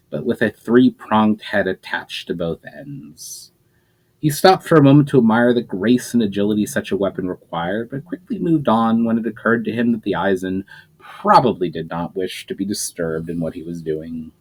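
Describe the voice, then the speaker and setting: A man reading his own fantasy novel aloud as narrator, his pitch rising and falling through each sentence, with small slips and restarts. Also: darkish tone.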